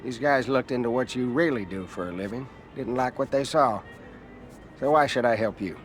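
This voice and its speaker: An actor playing an arrogant, condescending American senator in a video game cutscene, blunt and haughty in manner. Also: male voice, medium pitch, moderate pace, soft background music, natural voice. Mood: contemptuous, blunt.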